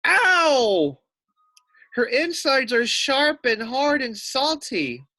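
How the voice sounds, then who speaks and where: A man's voice: very high-pitched, big pitch swings, drawn-out words, pushed and bright, dry recording, voice pushed up, full character voice. A man voicing a hungry, gullible wolf who grumbles and complains in a children's storybook. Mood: pained howling.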